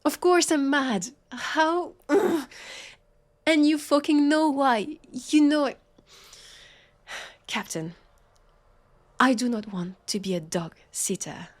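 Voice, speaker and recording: A woman with a French accent playing a weary, sardonic vampire police officer in an audio roleplay, halting and exasperated. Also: high voice, lively intonation. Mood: angry, protesting. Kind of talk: scripted audio roleplay acting.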